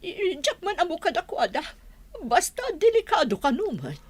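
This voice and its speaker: A woman's voice: very high voice, sing-song, moderate pace. A woman with an Ilocano accent playing an anxious, cautious middle-aged mother in a radio drama, hesitant and fretful. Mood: evasive, worried.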